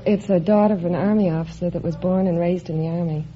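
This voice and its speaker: A woman's voice: lowish voice, fairly even intonation, quick pace, dark tone, a little hiss or hum. A woman contestant giving polite, hesitant answers to a quiz host. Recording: dull old broadcast recording.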